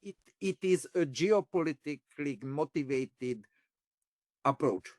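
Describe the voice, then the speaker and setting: A man answers interview questions in an explanatory way. His voice is fairly high, he speaks slowly, and the sound is dry. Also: lively intonation, dry recording. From Hungary. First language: Hungarian. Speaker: interview guest, former diplomat and academic.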